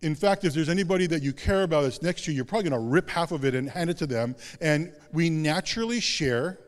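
A male pastor giving a sermon in a conversational, self-deprecating way with casual asides. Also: quick pace. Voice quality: deep voice. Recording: long hall reverb.

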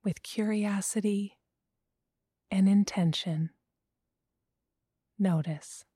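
A woman guiding a breathing meditation, speaking slowly and softly and often in a whisper. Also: mid-range voice, fairly even intonation, breathy edge, dry recording, studio quality. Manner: slow, soft, often whispered. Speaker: meditation guide.

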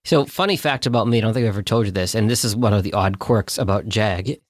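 A man's voice: on the low side, fast talker, dry recording, studio quality. A man in his forties co-hosting a podcast, chatting casually and telling personal stories in an upbeat way. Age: 40s. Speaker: podcast co-host. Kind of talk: casual chat.

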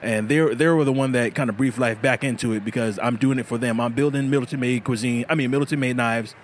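A man's voice: medium pitch, fairly even intonation, fast talker, a little hiss or hum. A man who makes knives, talking casually as an interview guest and laughing often. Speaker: knife maker guest.

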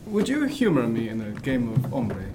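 An actor playing a courteous, dryly witty gentleman aboard a ship in a period radio drama. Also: medium pitch, wildly swooping pitch, normal speed, background noise. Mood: genial.

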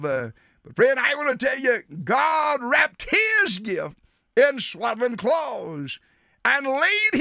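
Radio preacher guy, really high bright voice, slow and drawn out, keeps shouting at the sinner. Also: creaky, dry recording, studio quality. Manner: impassioned, often shouting, stretching out key words; repeats appeals like "dear sinner" and "my friend". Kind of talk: evangelistic sermon.

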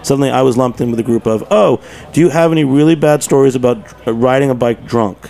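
A man's voice: medium pitch, quick pace, creaky, darkish tone. A man chatting casually as a guest in a radio interview. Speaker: radio show guest, bar owner.

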